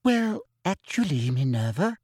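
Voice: mid-range voice, sing-song, unhurried, darkish tone, slightly rough, dry recording, clean studio sound. A man voicing a simpering older female official, sickly sweet and falsely polite, in an audiobook. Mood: smug, simpering. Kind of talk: audiobook character acting.

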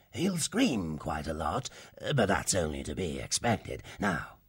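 A man's voice: low-pitched, no room sound, clean studio sound, heavily acted. A man voicing a cruel, menacing male wildcat warlord in a storybook reading, speaking with silky, mocking menace. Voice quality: gravelly, husky, slow and deliberate.

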